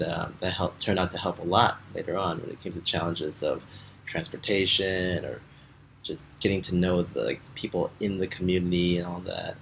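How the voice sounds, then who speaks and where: A young American man speaking as a Peace Corps volunteer in a relaxed interview, with lots of fillers. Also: low voice, creaky, slight background noise, poor audio. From United States. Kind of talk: casual interview answers.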